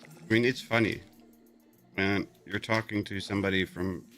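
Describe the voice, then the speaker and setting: A man's voice: on the low side, steady tone, moderate pace, fairly bright tone, soft background music. A middle-aged man with a New York accent, chatting casually as he streams his gameplay, often stumbling and repeating his words.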